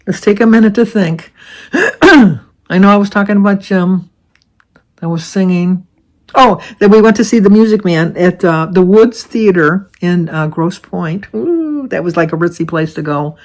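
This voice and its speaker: A woman in her seventies from Michigan, chatting casually to her viewers with a slightly raspy voice and rambling off topic. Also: fairly low pitch, expressive, a little slow. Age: 70s. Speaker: vlogger. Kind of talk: casual chat to camera.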